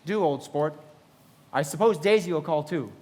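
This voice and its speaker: An actor playing a genteel, romantic young millionaire in a live radio play, earnest and measured, heard in a reverberant hall. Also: male voice, on the high side, a little mellow, lightly acted. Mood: genteel, easy. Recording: reverberant hall, music underneath.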